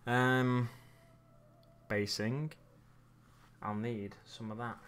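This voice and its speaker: A man's voice: medium pitch, flat delivery, drawn-out words, a bit bright, smooth. A man chatting casually with his live chat while painting miniatures, chatty and self-mocking. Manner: chatty, self-mocking, slips into playful accents.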